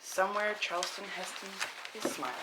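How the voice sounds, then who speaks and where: A woman's voice: medium pitch, animated, a little fast, natural voice. A woman playing a cool, confident sharpshooter and teasing friend in a screenplay table read, casually giving pointers. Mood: teasing, warm. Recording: faint room background noise.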